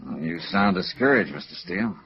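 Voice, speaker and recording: An actor playing a wry, hardboiled, middle-aged insurance investigator in a clipped, matter-of-fact 1950s radio noir style. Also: male voice, deep voice, sing-song, brisk, creaky, exaggerated voice. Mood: dry, probing. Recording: muffled vintage radio recording with music.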